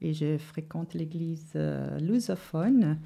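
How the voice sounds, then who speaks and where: Woman, mellow and slow-paced. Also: deep voice, expressive, vocal fry. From Brazil. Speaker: guest giving testimony. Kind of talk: personal testimony in an interview. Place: studio set.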